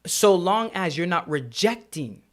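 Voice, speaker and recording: A man with a fairly high voice for a man co-hosts a podcast conversation. He explains his ideas earnestly and lays them out step by step. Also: sing-song, measured pace. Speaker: podcast co-host.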